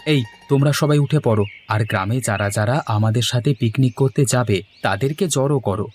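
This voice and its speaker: A man's voice: medium pitch, brisk, darkish tone, soft background music, close to an everyday voice. A man playing a sociable, persuasive village man in a cartoon, explaining and coaxing good-humouredly. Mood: upbeat, persuasive. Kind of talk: cartoon dialogue acting.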